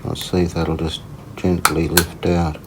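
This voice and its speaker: A man giving casual hands-on repair commentary, unhurried and tentative, as if thinking aloud. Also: deep voice, little pitch movement, background noise. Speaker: host of a radio repair channel.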